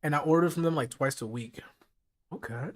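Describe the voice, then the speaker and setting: A man with a fairly high voice chats easily with his live-stream viewers late at night. His voice is hoarse and a little raspy after hours of nonstop talking. He keeps his tone casual and talks straight to the people watching. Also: brisk.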